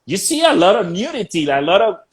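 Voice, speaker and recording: A man whose first language is Spanish hosts a casual interview, his voice sitting a little high. He talks haltingly, searches for words, and laughs often. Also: sing-song. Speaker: interview host.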